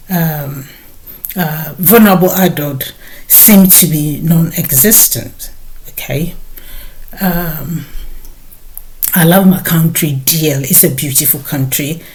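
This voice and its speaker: A middle-aged woman from Ghana, a nurse hosting her own vlog, talking slowly with many hesitant pauses. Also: deep voice, a little mellow. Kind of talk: personal talk to camera.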